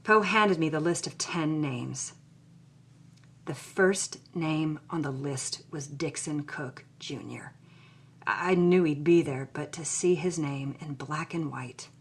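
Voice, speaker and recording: A woman's voice: low-pitched, slow pace, slightly rough, heavily acted. A woman playing a sardonic, troubled 1940s Hollywood writer, reading her journal with theatrical expression. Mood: bitter, distressed. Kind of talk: dramatic first-person reading of journal.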